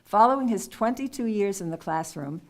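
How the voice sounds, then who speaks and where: A woman hosting a poetry contest event in a village hall, reading poet bios and poems aloud in a clear, measured way. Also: mid-range voice, animated, a little mellow. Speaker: contest host.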